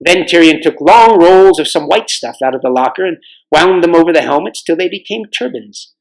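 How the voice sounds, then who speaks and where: A man's voice: on the high side, animated, moderate pace, vocal fry, low-quality recording. A man reading a storybook aloud as narrator. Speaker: volunteer storybook reader.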